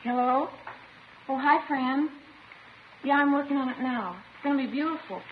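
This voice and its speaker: A woman's voice: sits high, moderate pace, natural voice. A woman playing a sullen, defensive schoolgirl in a 1950s radio crime drama, petulant and emotionally detached. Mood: bright, casual. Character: sullen, defensive, childlike schoolgirl confessing to police. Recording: muffled, noisy old 1950s radio recording.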